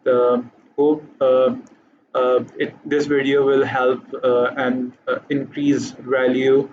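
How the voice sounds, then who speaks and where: A man's voice: mid-range voice, little pitch movement, takes it slowly, dull audio, a little hiss or hum. A man with an Urdu accent, a native Urdu speaker, giving a hesitant motivational talk to camera, full of fillers and restarts. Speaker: vlogger.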